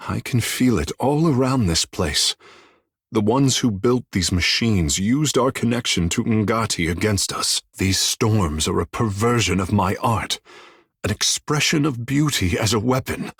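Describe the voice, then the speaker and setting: An actor playing a vain, charming godlike islander in a video game, with theatrical, lofty, courtly phrasing. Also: male voice, on the low side, slightly rough, dry recording, studio quality, heavily acted. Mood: solemn, awed. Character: flamboyant, vain, charming godlike islander man, water shaper. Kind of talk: video game character dialogue.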